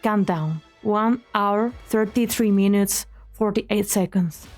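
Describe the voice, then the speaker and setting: A woman reading scripted dramatic story narration in a measured, solemn manner. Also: mid-range voice, a little mellow. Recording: background music under the voice.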